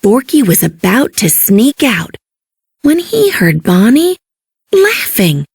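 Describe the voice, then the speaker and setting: A woman reading a children's storybook as an expressive narrator. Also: a little high, slow pace, creaky, husky, dry recording, clean studio sound.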